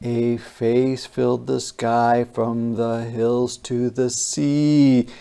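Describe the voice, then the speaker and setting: A storybook reader delivering a rhyming children's tale with theatrical, deliberate phrasing. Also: mid-range voice, level tone, creaky, dark tone, smooth. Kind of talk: dramatic rhyming children's read-aloud.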